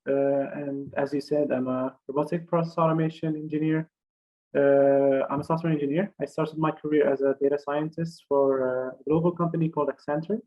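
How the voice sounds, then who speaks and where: A young man from Morocco giving an online career fair talk, speaking haltingly with many fillers and restarts over a video call. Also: fairly high pitch, fairly even intonation, dull audio.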